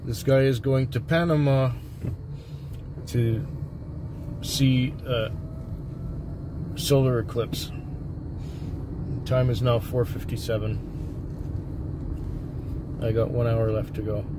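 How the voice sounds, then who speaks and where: A tired taxi driver talks casually from behind the wheel, his voice a little hoarse and congested. He rambles and pauses with fillers, and his pitch sits in a middle range for a man. The car is noisy, with clear engine and road sound behind him. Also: steady tone. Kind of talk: casual vlog monologue. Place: inside a taxi at night. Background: car and road noise.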